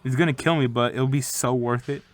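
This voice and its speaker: A man's voice: mid-range voice, fairly even intonation, brisk, natural voice. A man playing a big, goofy, boastful young friend in an audio drama. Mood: eager. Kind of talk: audio fiction dialogue.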